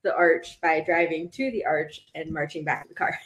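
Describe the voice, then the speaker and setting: A woman in her thirties co-hosting a casual podcast, playful, laughing often and dropping in quick short remarks. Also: sits low, slight background noise. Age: 30s. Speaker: podcast co-host and youth weightlifting coach. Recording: roomy echo.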